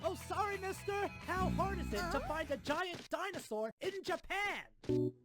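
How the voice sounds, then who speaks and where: A woman voicing a sarcastic, exasperated, deadpan girl in a fan parody anime dub. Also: very high voice, normal speed. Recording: music behind the voice.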